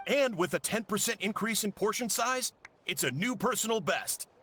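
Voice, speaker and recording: An excited video game contest announcer. His voice is pushed very high, forceful and husky, with a celebratory delivery. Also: moderate pace, exaggerated voice.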